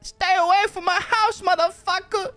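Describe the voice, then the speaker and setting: A man putting on a high-pitched woman's voice, brash and swearing at people at the door. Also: fairly even intonation, moderate pace, sharp tone, dry recording, faint music behind. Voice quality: very high falsetto. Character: shrill woman shouting from a balcony. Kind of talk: roleplay on a game stream.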